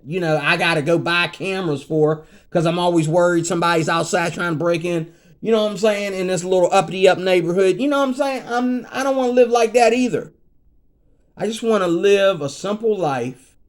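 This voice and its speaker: A man in his fifties ranting in a casual vlog, rambling and repeating himself, growing heated and emphatic. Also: a little high. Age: 50s. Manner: rambling, repeats "you know what I mean". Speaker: vlogger.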